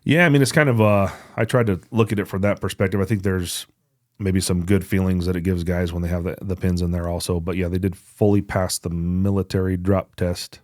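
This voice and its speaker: Low-voiced man, casual podcast co-host. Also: fairly even intonation, fairly quick, darkish tone, no room sound, clean studio sound. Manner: informal, conversational. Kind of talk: casual podcast product update.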